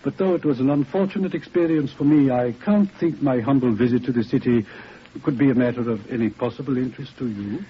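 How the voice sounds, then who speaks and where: A man's voice: mid-range voice, fast talker, slightly rough, natural voice. An actor playing a dignified elderly clergyman in 1940s radio style, mild, regretful and hesitant, in clipped phrases. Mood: mildly regretful. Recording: muffled noisy 1940s radio recording.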